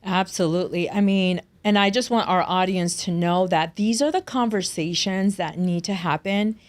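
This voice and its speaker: A woman's voice: fairly low pitch, moderate pace. A woman hosting a health podcast, a doctor, speaking emphatically and addressing her listeners directly. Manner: emphatic, affirming, speaks to the audience. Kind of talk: conversational interview.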